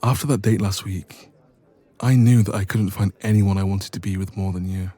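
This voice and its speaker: A man playing a smitten, shy and teasing young best friend in a romantic audio roleplay, speaking softly and intimately with some hesitation. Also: low voice, level tone, moderate pace. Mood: nervous, tender.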